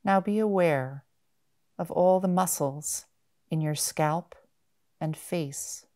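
A woman guiding a meditation in a gentle, measured manner, with long pauses between short phrases. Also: lowish voice. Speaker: mindfulness meditation guide. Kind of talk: guided body-scan meditation.

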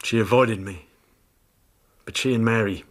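A man's voice: fairly low pitch, a little slow, lightly acted. An actor in a radio drama playing a bitter, jealous, hard-drinking husband confessing to murder, brooding as he tells it. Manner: brooding, confessional storytelling that breaks into confrontation.

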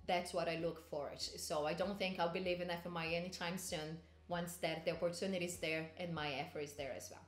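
A woman's voice: lowish voice, flat delivery, brisk, few pauses, reverberant, soft background music. A woman, speaking earnestly in an office interview. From Brazil. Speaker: employee interviewee. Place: office, seated indoors.

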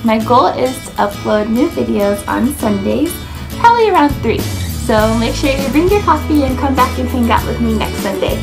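A woman's voice: fairly high pitch, animated, moderate pace, over music. An American woman in her twenties chatting informally to camera as a vlogger, saying "like" often. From Indiana, United States. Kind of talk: casual chat to camera. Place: small room indoors, plain wall. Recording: clearly audible background room noise.

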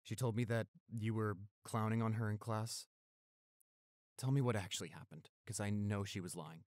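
A man's voice: on the low side, fairly even intonation, moderate pace, a little mellow, no room sound, clean studio sound, lightly acted. A man playing a protective, tender young boyfriend who turns fierce toward bullies, in an intimate audio roleplay. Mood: gentle, reassuring. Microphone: close studio microphone.

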